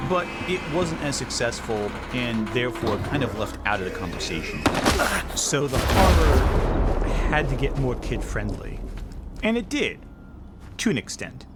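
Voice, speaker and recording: A man's voice: mid-range voice, very noisy. A man delivering scripted video-essay narration with casual, wry, incredulous asides.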